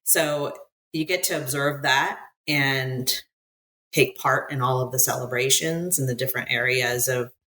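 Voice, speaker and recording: Very low-voiced bright American woman. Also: unhurried. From United States. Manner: animated, laughs often, trailing restarts. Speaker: podcast guest. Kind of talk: conversational interview.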